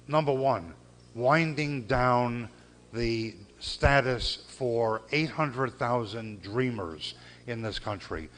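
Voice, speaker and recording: An older man gives an earnest, measured speech at a gala podium. He pauses often and repeats key phrases. A faint background hiss sits under his mid-pitched voice. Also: fairly bright tone. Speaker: civil rights lawyer giving a gala speech. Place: banquet hall.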